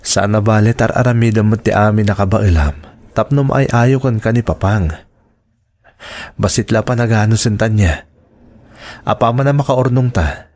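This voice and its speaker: A man's voice: on the low side, moderate pace, long pauses, a little mellow, lightly acted. An actor playing a reassuring, conciliatory young husband who defers to his father, in a radio-style drama.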